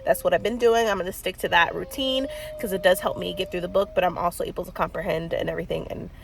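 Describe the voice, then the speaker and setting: A woman's voice: fairly low pitch, fairly even intonation, fast, vocal fry, noisy background. Congested woman chatting on a vlog. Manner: chatty, rambling, many fillers and laughs. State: congested with a cold. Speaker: book vlogger. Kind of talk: casual vlog chat. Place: in a car.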